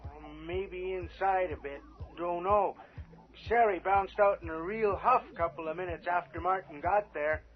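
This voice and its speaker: An actor playing an uneasy, laconic rural conspirator with colloquial speech in a 1980s radio horror drama. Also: male voice, high-pitched, a little slow. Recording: dull radio recording with music.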